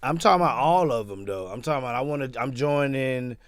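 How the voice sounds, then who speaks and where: Man, mid-pitched voice, slangy laid-back podcast banter, dry studio mic. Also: fast talker, no room sound. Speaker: podcast co-host. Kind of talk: casual chat.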